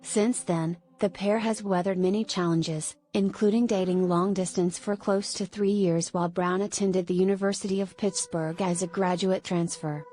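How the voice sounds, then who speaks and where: A woman narrating a scripted celebrity news article in a measured read-aloud manner. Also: mid-range voice, steady tone, mellow. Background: music.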